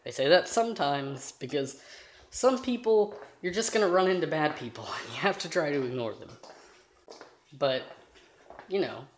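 A man with a high voice giving an earnest, rambling personal monologue to camera, trailing off into fillers as he thinks aloud. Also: animated, normal speed, a little hiss or hum. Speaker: vlogger.

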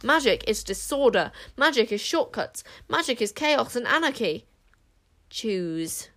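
A woman voicing a haughty, imperious warrior queen with a quick temper in an audiobook story. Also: on the high side, a bit bright, lightly acted. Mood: passionate anger. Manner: contemptuous, imperious, snapping.

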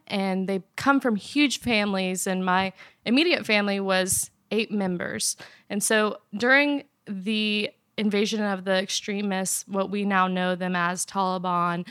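Young female guest from Afghanistan, smooth clear voice, dry close sound, earnest and steady. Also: medium pitch, unhurried, no room sound. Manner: earnest, steady, soft laughs. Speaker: interview guest. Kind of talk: personal storytelling in an interview. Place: apartment in Nashville.

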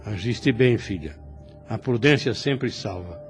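A man's voice: on the low side, animated, a little slow. A man playing a kindly, fatherly older priest in a radio soap opera, with formal and solemn phrasing. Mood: solemn, approving. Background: music under the voice.

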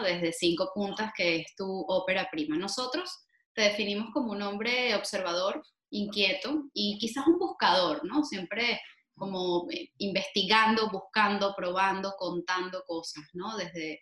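A woman's voice: lowish voice, reverberant. A woman from Venezuela hosting a podcast interview, asking questions with frequent tag questions. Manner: measured, warm-toned questions with frequent "¿no?" tags. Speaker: podcast host.

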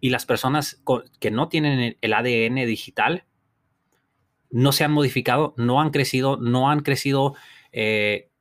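A man's voice: medium pitch, normal speed. Male podcast host, middle voice, earnest and encouraging, restates lines as he goes. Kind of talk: motivational talk.

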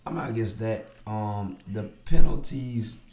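A man co-hosting a casual podcast, hoarse from losing his voice, talking in a laid-back, slangy way and repeating phrases. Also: on the low side, unhurried, a little mellow. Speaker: podcast co-host. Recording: roomy, dull lo-fi sound.

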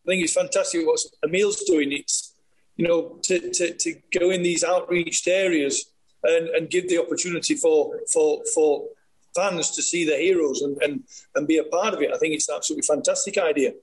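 A man's voice: a little high, steady tone, moderate pace, a little mellow, rough recording. A man hosting a casual podcast chat, upbeat as he asks questions and praises his guests. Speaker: podcast host.

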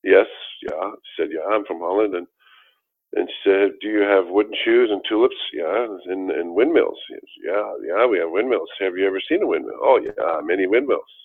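Brief: older man, very low voice, rambling storyteller, heard over a tinny phone-like line. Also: moderate pace, slightly rough. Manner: rambling, with many restarts and fillers. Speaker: guest telling his life story. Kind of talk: casual storytelling interview.

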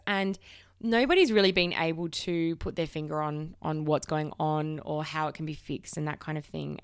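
A woman in her thirties speaks on her own podcast with a slight cold. Her voice sits lowish and comes through smooth and clean. She talks frankly, swears casually and often restarts her sentences. The sound is dry and close, with almost no room around it. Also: dry recording. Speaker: podcast host. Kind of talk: candid solo podcast chat.

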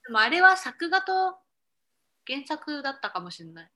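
A woman's voice: high voice, sing-song, moderate pace, bright, forceful tone. A woman co-hosting a radio-style podcast, chatting casually and reading out show announcements.

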